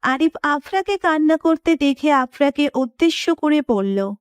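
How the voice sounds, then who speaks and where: High-pitched woman narrator, very dry sound. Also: expressive, a little slow, dry recording. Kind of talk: scripted story narration.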